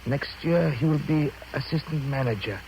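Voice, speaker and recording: A man's voice: fairly high pitch, normal speed, darkish tone, highs cut off, close to an everyday voice. Insinuating colonial underling, a man acting, noisy old radio. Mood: sardonic reverence. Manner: knowing, pointed. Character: resentful, insinuating male colonial assistant manager. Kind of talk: 1930s radio drama acting. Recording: noisy old 1930s radio broadcast recording.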